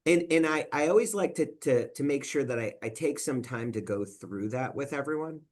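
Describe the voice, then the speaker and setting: A man of middle pitch giving an explanatory talk as a financial advisor. He speaks conversationally and works through examples one step at a time. He keeps checking in with his listeners with a quick "right?". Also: animated, darkish tone. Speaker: financial advisor presenting at a roundtable. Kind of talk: explanatory presentation.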